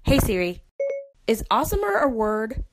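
A woman hosts a casual podcast and asks playful, mock-serious questions. Her voice moves lively up and down in pitch. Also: normal speed, noisy background. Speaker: podcast host.

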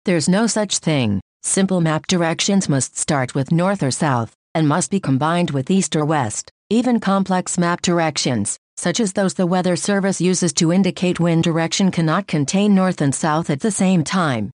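A prim, condescending voice assistant, played by a woman, delivers sarcastic answers in flat, deadpan lectures. The voice sits a little low. It is recorded close and completely dry. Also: moderate pace, few pauses, no echo, lightly acted. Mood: deadpan snarky. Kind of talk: scripted comic bit.

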